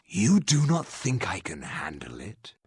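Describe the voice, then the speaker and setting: An actor playing a stern, sardonic revenant warrior in a fantasy game, raspy, with stiff and formal speech. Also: male voice, fairly low pitch, huge pitch swings, measured pace, darkish tone, a little hiss or hum. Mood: stern, terse. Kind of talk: fantasy game character acting.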